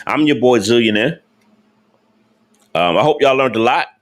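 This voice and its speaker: A man's voice: medium pitch, expressive, normal speed. Male livestream host, casual and slangy, emphatic and repetitive delivery. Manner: emphatic, repetitive, rhetorical questions to chat; slangy informal speech. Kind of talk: casual livestream talk to viewers.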